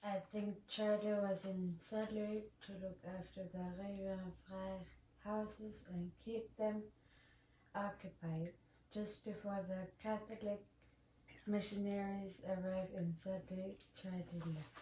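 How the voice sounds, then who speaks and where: Slow, dark-toned woman reading aloud. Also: medium pitch, level tone. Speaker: reader at a school story sharing. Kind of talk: reading an elder's life story aloud. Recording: roomy, distant mic, faint noise.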